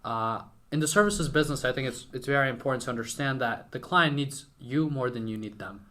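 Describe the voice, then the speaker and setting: A man's voice: mid-range voice, fairly quick, pushed and bright. A young man from Western Ukraine, a native Ukrainian speaker, chatting casually and informally as a podcast guest. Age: young adult. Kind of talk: casual conversational interview.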